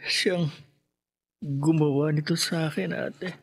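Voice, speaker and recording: An actor with a Filipino accent playing a timid, frightened younger man who speaks haltingly, in a radio drama. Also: on the high side, fairly even intonation, creaky. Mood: weak, halting. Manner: halting, stammering. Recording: faint background noise.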